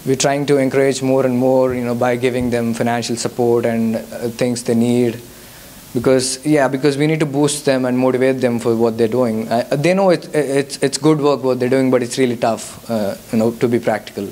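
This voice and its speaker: A man's voice: mid-range voice, steady tone, normal speed, dark tone. A young man from India with a Telugu-accented English, a Telugu native speaker answering audience questions as a guest speaker at a church youth service, hesitant with frequent fillers and restarts.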